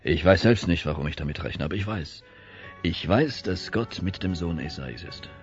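A male actor as a stern captain with a very deep voice. He speaks gravely and with command in radio-drama style, over faint music on a muffled recording. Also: animated, moderate pace. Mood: grave, faithful. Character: stern, devout army captain, weary and authoritative. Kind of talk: radio play acting.

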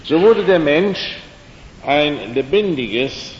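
An older man speaking German as his second language gives an evening talk, unhurried and halting, with dry little jokes. The recording is dull and lo-fi, the voice bounces around a big echoey hall, and a faint low rumble sits underneath. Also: animated. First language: not German. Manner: slow, halting, with dry humor and many fillers. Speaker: lecturer. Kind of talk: evening lecture on creation and faith.

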